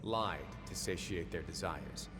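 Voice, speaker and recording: Brooding fortress overseer: low, measured, restrained game drama voice over clearly audible music. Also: male voice. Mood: grave, resolved. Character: composed, brooding male prison overseer with a dark past. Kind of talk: video game dramatic voice acting. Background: game background music.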